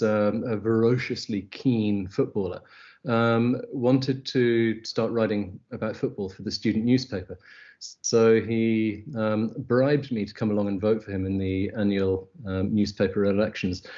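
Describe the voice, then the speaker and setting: A man's voice: fairly low pitch, dark tone. Scottish guy, middle-aged, chatty storyteller with lots of ums, muffled lo-fi call audio. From Scottish Highlands. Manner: storytelling, wry, many ums and restarts. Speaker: agency CEO, guest. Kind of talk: casual conversational interview. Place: South Africa, remote call.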